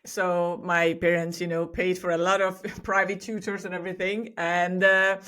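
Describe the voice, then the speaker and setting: A woman's voice: fairly low pitch, level tone, moderate pace, fairly bright tone, smooth. A woman from Hungary, a podcast guest chatting enthusiastically and laughing often. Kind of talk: casual interview chat.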